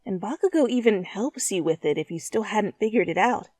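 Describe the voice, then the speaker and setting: A woman reading a story aloud as dramatic, expressive narration, addressing the listener as the main character. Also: medium pitch, fairly quick, no echo, studio quality, faint music behind. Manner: theatrical, expressive. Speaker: fan fiction reader.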